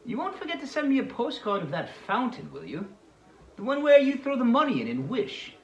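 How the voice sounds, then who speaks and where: A man's voice: very high-pitched, huge pitch swings, a little fast, highs cut off, heavily acted. A man playing an ardent, wistful young suitor in a dramatized novel reading, earnest and coaxing. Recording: roomy, hissy home recording.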